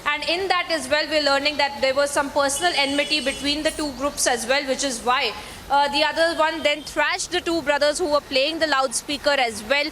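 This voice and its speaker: A woman reporter with an Indian accent files a live report in wordy, run-on sentences. Her voice is high and bright. The link is reverberant, with some background noise. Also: normal speed. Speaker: news reporter.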